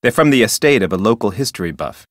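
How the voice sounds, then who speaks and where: Low-voiced, officious park ranger. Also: male voice, very animated, fast, no room sound, studio quality. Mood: informative, matter-of-fact. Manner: officious, wordy, formal. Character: officious, rule-bound male park ranger, local history buff. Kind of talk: scripted adventure game dialogue.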